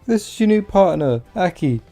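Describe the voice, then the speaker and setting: A woman performs a crude comedic anime parody with a lively, swooping pitch. Her voice is mellow and full of fry, her wording sweary and slangy, and faint music plays underneath. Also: normal speed.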